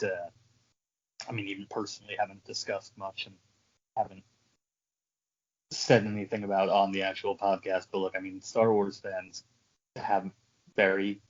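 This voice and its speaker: A man co-hosting a fan podcast, talking casually in halting bursts with irreverent swearing. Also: deep voice, slightly rough, highs cut off. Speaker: podcast co-host. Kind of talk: casual film-fan banter.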